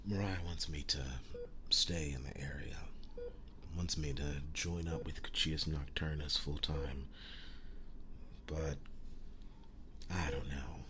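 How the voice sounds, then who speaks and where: A man playing a protective, world-weary young werewolf boyfriend in an intimate ASMR roleplay, speaking in a breathy, halting hush. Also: fairly even intonation, noisy background. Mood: weary, reluctant. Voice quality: breathy, hushed, very low voice. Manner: halting, slow, soft-spoken with long pauses.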